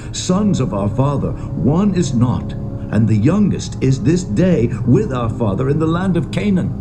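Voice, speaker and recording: Humble, expressive Hebrew brother. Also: male voice, mid-range voice. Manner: earnest, deferential archaic speech. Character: humble, fearful Hebrew shepherd brother pleading before a lord. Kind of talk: dramatised scripture reading. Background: music.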